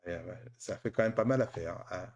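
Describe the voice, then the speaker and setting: A man's voice: low voice, a little fast, muffled recording, crowd noise. Man hosting a casual panel. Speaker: show host.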